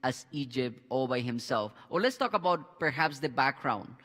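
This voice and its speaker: A man in his thirties hosting a church Bible discussion on a headset mic, halting with restarts and fillers. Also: medium pitch, lively intonation, a bit bright, long reverb tail. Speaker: pastor and host. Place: church studio with a screen behind.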